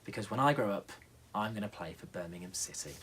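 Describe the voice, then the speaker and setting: A man voicing an excitable, eager young boy who is mad about football, in a bedtime story. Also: fairly low pitch, fast talker, husky, pitched below the performer's own voice, poor audio. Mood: happy, eager.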